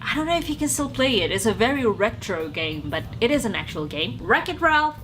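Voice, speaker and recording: A young Indonesian woman with a slightly high voice, talking in English with an Indonesian accent. She rambles happily and gushes like a fan while reviewing a film for her channel. A faint hiss sits under her voice. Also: sing-song, brisk, fairly bright tone. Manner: rambling and enthusiastic, fangirling. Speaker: YouTube movie reviewer. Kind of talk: casual chatty review.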